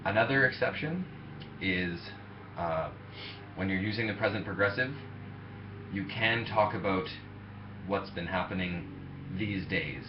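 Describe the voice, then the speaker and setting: A man in his twenties, a teacher, giving a relaxed webcam grammar lesson with many fillers. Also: low-pitched, noisy background. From Canada. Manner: relaxed, halting explanation with fillers. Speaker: ESL teacher. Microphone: webcam mic. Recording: echoey room, dull lo-fi webcam audio.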